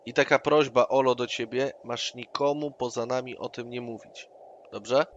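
A man playing a tabletop game online, speaking in character in a smooth, persuasive way and using his companion's name again and again to coax him. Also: medium pitch, steady tone, normal speed, muffled recording. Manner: coaxing, keeps repeating the other's name. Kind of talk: in-character roleplay, persuasive schemer. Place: home, online session. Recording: online session audio with faint noise.

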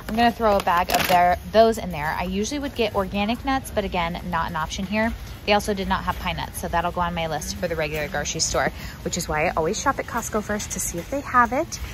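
A young adult American woman chatting casually and informally to viewers, like a shopping vlogger. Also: mid-range voice. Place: large indoor warehouse store. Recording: noisy warehouse store background hum.

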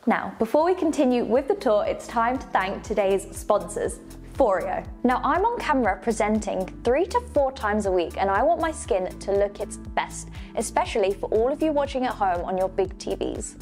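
A woman's voice: medium pitch, expressive, moderate pace, darkish tone. Enthusiastic young woman presenting. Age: young adult. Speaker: presenter of a luxury home tour show. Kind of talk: presenting a house tour. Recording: light background music. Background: faint music.

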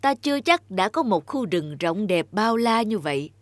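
A woman's voice: mid-range voice, sing-song, moderate pace, no echo, lightly acted. A woman voicing a genial, pompous king with a regal manner in a fairy-tale cartoon. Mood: admiring. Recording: music behind.

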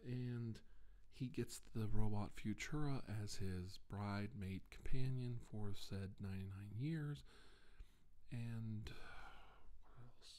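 A middle-aged man playing a shaken, solemn doctor giving a halting, subdued deposition in an ASMR roleplay. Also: on the low side, warm tone. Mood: grave, deliberate. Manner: halting, hesitant, subdued, deliberate. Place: indoors, seated at a desk.

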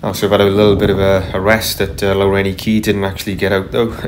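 A man narrating casually as he paddles a kayak on a river, unhurried, with frequent ums and uhs. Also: sits low, completely flat delivery, background noise. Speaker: kayaker vlogger. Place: kayak on a tidal river outdoors. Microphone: camera mounted on the kayak.

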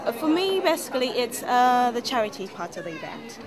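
A woman's voice: on the high side, sing-song, a little fast. A woman, a pageant contestant, giving earnest interview answers with some hesitation. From Zambia. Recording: loud music and crowd behind.

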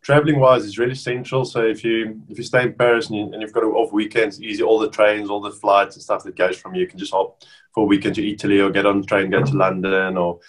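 A South African man in his thirties, whose first language is Afrikaans, chatting casually over a video call as an interview guest with relaxed restarts. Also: lowish voice, fast talker, rough recording. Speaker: rugby player guest. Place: bedroom at home, indoors.

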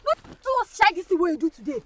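Pushy young Nigerian woman, high swooping voice, rapid confrontational street slang, film drama. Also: big pitch swings. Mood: angry scolding. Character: brash, bossy, impatient young street-smart troublemaker.

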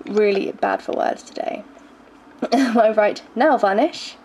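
A woman's voice: medium pitch, slow pace, a little mellow. A young woman with a groggy voice, casually showing her makeup collection in her bedroom, with plenty of ums and small laughs. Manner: chatty, hesitant, frequent ums and little laughs. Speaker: beauty vlogger. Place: bedroom at home. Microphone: handheld camera filming from close. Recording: noisy home video recording.

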